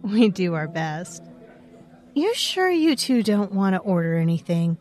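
Actress as obliging waitress for a radio play; mid-pitched, animated, friendly. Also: female voice, a little slow, natural voice. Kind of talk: audio drama dialogue.

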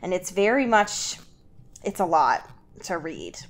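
A woman's voice: on the low side, a little slow. Slangy woman podcaster recapping a story. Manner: animated storyteller acting out characters' reactions in slang. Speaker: podcast host. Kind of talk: casual, slangy chat recapping a book.

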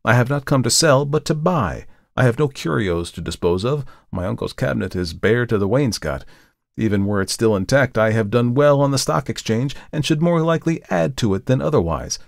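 Man playing an intense, agitated gentleman, raised pitch. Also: animated, a little fast, gravelly, no room sound, studio quality. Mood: smooth, rehearsed. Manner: fervent, theatrical, insistent. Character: intense, brooding, agitated gentleman customer with a secret. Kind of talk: audiobook character reading.

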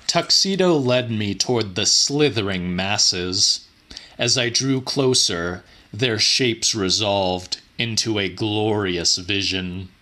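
Male audiobook narrator for weird horror: lowish pitch, deliberate theatrical delivery, tense bright edge. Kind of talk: dramatic reading of weird horror fiction, first-person.

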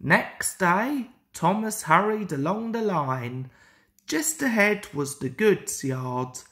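A woman reading a children's story aloud in an expressive, deliberate way. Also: on the low side. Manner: slow, deliberate, animated delivery. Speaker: storybook reader.

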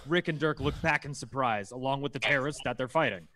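A man's voice: mid-range voice, fast, sharp tone. A man narrating a scripted action comedy, emphatic and dramatic with a tongue-in-cheek edge. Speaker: narrator reading stage directions.

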